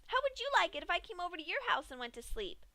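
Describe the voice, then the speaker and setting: A woman's voice: very high voice, sing-song, fast, bright, forceful tone, dry recording, pitched above the performer's own voice, exaggerated voice. A woman voicing a cheerful, sadistic female pink pony in a bubbly, sing-song way. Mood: pouty. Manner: chirpy, sing-song, unbothered.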